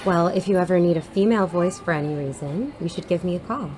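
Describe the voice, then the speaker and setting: A woman's voice: on the low side, fast, darkish tone, soft background music, close to an everyday voice. A woman playing a helpful, patient young aspiring actor in a naturalistic audio drama, reassuring and lightly wry. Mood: friendly, chatty.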